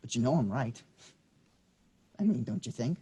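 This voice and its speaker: An actor reading a quick-tempered young man, combative and swearing in a staged play reading. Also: medium pitch, big pitch swings, warm tone, husky.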